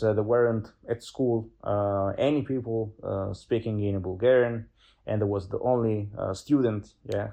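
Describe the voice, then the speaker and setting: Young Italian-accented man, lowish pitch, hesitant guest speaking in a second language. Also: vocal fry, mellow. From Italy. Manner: hesitant, many fillers and restarts. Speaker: guest polyglot. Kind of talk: casual interview chat.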